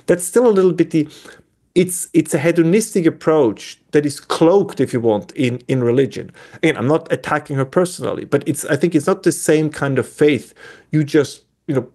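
A man's voice: fairly high pitch, normal speed, mellow. A man with an Austrian German accent, a guest in a conversational discussion who speaks thoughtfully and often restarts his phrases while he searches for words.

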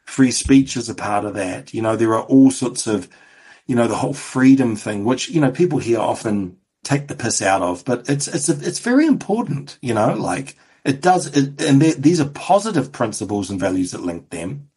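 A man with a New Zealand accent speaking as an interview guest, talking in halting bursts with frequent restarts. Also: medium pitch, big pitch swings, darkish tone, slightly rough. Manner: halting, frequent restarts and "you know" fillers. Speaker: television producer, guest. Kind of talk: casual interview conversation.

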